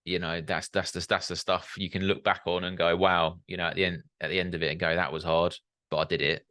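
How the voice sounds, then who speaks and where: A man's voice: low voice, little pitch movement, fast talker, sharp tone, no room sound, studio quality. A young man hosting a podcast interview, chatty and hesitant, full of restarts and tag questions. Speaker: podcast host. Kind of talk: casual interview chat.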